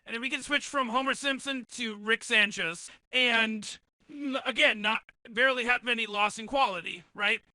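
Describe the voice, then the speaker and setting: A man's voice: extremely high pitch, normal speed, sharp tone, no echo, voice pushed up, exaggerated voice. A man voicing a cartoon mad scientist through an AI voice changer. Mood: demonstrative.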